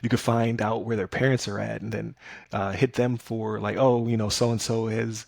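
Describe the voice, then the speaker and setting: Gravelly-voiced male podcast host. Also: lowish voice, level tone, normal speed, darkish tone, no room sound, clean studio sound. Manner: conversational, many fillers and restarts. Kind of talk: casual podcast discussion.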